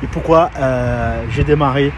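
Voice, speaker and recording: A man's voice: mid-range voice, a little slow. Man, Brazilian accent, Portuguese native speaker, hesitant, casual vlog, outdoor yard, noisy background. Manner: hesitant, many fillers and restarts. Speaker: heavy-truck mechanic and garage owner. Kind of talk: casual vlog tour and personal story. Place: outdoors at a truck yard and garage. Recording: outdoor street noise behind.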